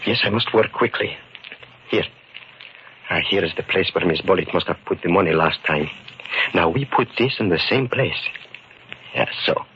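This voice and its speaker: An actor playing a calm, resolute immigrant shopkeeper in old radio drama style, with measured, formal phrasing that repeats words. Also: male voice, sits low, lightly acted. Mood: intent. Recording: dull, noisy old radio recording.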